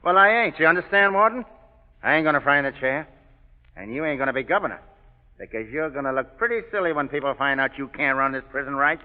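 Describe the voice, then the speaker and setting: An actor playing a cocky condemned gangster who talks tough and taunts everyone, in an old radio drama. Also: male voice, on the high side, expressive, fast, close to an everyday voice. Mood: taunting. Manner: tough, taunting, mocking laughter. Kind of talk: 1940s radio acting. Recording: tinny old radio broadcast with long reverb.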